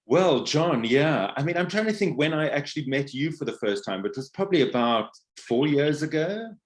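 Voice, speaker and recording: A man's voice: on the high side, lively intonation, moderate pace. A middle-aged man from Africa, a podcast guest talking reflectively about his life, with many fillers and restarts. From South Africa. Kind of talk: casual interview conversation.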